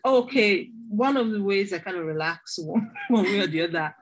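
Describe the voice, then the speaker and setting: A doctoral student introducing herself in an online class, chatty and laughing, with restarts. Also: female voice, mid-range voice, normal speed, slightly rough, poor audio. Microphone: video call.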